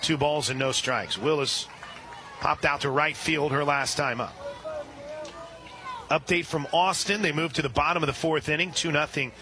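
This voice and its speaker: A man calling a softball game on the radio, describing each pitch and reading sponsor spots between plays. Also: moderate pace, pauses often. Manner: rises sharply and loud on big plays. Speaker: radio play-by-play announcer. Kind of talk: live softball play-by-play.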